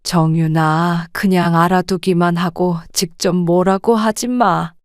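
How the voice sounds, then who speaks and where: A woman voicing a gentle, worn-down mother in her sixties, speaking softly and with restraint in an audio drama. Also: on the low side, steady tone, a little slow, clear, resonant tone, dry recording, studio quality. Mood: weary, gentle. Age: 60s.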